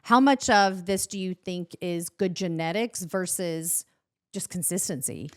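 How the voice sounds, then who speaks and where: A woman in her forties hosting a podcast interview, chatty and self-teasing with quick asides. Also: lowish voice. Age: 40s. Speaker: podcast host and nurse. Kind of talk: casual interview chat.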